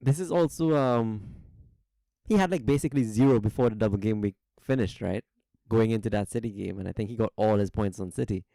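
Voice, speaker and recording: A man's voice: on the low side, brisk, gravelly, mellow, no room sound, poor audio. Joking podcast co-host man. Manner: brief, joking interjections. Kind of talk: casual chat.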